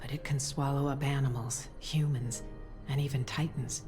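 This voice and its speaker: A woman voicing a gentle, solemn mother and priestess, soft and breathy, soothing in a game cutscene. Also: rumbling low, steady tone. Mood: grave. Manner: soothing, measured, formal. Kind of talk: voiced game cutscene dialogue. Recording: game audio under clear music.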